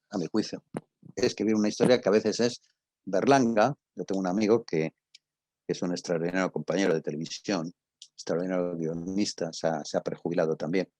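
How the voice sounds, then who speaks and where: An older man with a husky voice and a Castilian Spanish accent, informally answering students as a radio drama teacher in a conversational, storytelling way. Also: deep voice, a little mellow, dry recording.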